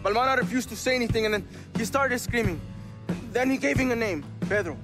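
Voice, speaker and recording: An actor playing a frightened, cowardly bar worker held captive in a video game, pleading in English. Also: male voice, very high voice, fairly quick. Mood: nervous, pleading. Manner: panicky, pleading. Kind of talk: video game cutscene acting. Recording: loud music behind the voice. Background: loud game score.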